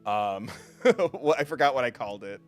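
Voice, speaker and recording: A man hosting a video game livestream, chatting casually and haltingly with viewers in a self-deprecating, joking way. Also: mid-range voice, animated. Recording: music behind the voice. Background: music.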